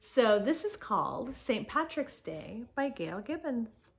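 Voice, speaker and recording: A woman's voice: on the high side, sing-song, slow pace, creaky. A woman teacher reading a picture book aloud to children, explaining things and speaking to her young listeners directly with casual asides.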